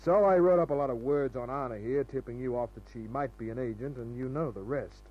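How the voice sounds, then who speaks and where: An actor playing a wry, cool-headed private detective in an old radio noir, narrating and talking in terse, clipped phrases. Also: male voice, mid-range voice, a little fast, natural voice. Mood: cool, confident. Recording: muffled old radio recording.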